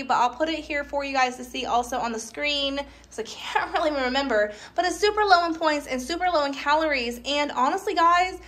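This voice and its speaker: Chatty lady in her 30s, pretty high voice, friendly vlog talk at home. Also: sharp tone. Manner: chatty, friendly, frequent small laughs. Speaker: vlogger. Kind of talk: casual chat to viewers. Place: home kitchen, indoors.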